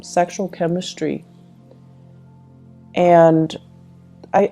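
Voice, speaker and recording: Woman, tarot reader: lowish, very dark and soft-edged tone, reflective advice straight to the listener, music underneath. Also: vocal fry. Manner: reflective, advising, addresses listener directly. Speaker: tarot reader and channel host. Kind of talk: intuitive tarot reading addressed to the listener.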